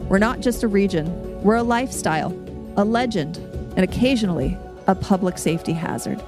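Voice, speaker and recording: A woman's voice: mid-range voice, lively intonation, fairly quick. An American woman reading a scripted persuasive speech as a podcast guest, playful and tongue-in-cheek in a mock-grand delivery. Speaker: podcast guest making a debate case. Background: music under the voice.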